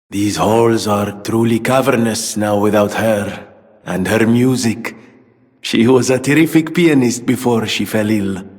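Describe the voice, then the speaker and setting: An actor playing an eccentric, earnest gentleman inventor in a video game, speaking in a theatrical, wordy way. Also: medium pitch, in a large hall, natural voice. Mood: subdued, grieving. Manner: theatrical, verbose, exclamatory. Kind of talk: video game character dialogue.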